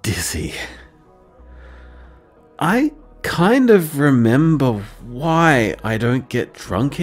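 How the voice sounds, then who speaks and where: An actor playing a rambling, self-deprecating young man. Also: medium pitch. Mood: tipsy. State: tipsy. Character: shy affectionate young man leaving voicemails. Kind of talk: audio drama voicemail monologue.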